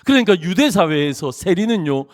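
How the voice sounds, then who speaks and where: A middle-aged man preaches in a church sanctuary, his voice sitting a little high and swinging widely as he jokes and presses his points. The long reverb of a big church hall trails every phrase. He grew up in Busan, South Korea. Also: moderate pace. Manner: animated, jokey preaching with rising emphatic phrases. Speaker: pastor. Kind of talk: sermon.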